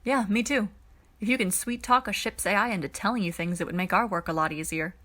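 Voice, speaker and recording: A woman's voice: mid-range voice, fast, pushed and bright. Woman voicing a level-headed young male leader. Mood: dry, curious. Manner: matter-of-fact, dry.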